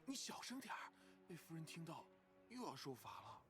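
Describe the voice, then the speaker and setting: A man voicing an earnest, loyal young disciple in an animated fantasy drama, dramatic and emotive. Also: on the high side, fairly quick, music in the background. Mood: hushed, wary.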